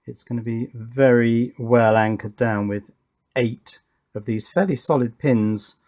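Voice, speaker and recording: A man's voice: mid-range voice, fairly even intonation, measured pace, darkish tone. A man giving casual, understated hands-on commentary as he works on a hobby electronics build, often thinking aloud and hesitating. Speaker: hobby electronics video maker.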